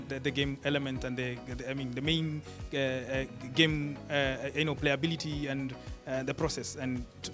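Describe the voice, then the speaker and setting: A man from Kenya, a doctoral researcher describing his game in an interview, hesitant and full of fillers. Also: medium pitch. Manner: hesitant, many fillers and restarts. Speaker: PhD researcher and game designer. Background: background music.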